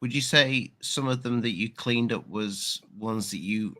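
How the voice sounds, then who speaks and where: A man's voice: on the low side, unhurried, sharp tone. A man hosting an interview, talking informally with some slang. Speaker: host. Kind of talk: casual interview chat.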